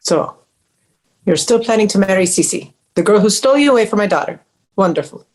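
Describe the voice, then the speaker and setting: A woman's voice: mid-range voice, animated, fairly quick, darkish tone, close to an everyday voice. Woman doing bossy older mom in a script read, sweet but cutting, mid voice. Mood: passive-aggressive. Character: sharp-tongued, passive-aggressive older mother, domineering. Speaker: actor in a script table read. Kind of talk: table read of a screenplay.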